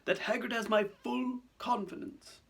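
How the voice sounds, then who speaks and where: A man's voice: extremely high pitch, steady tone, gravelly, a bit bright, pitched above the performer's own voice, exaggerated voice. A man voicing a grave, dignified old headmaster who speaks politely, formally and firmly in a storybook. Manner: formal, measured, firm.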